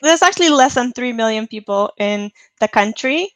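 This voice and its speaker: A woman in her thirties from Lithuania, a native Lithuanian speaker, chatting as a guest on a video call, upbeat and quick to laugh. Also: a little high, expressive, no room sound. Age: 30s. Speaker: guest, fitness coach. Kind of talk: casual chat on a video call.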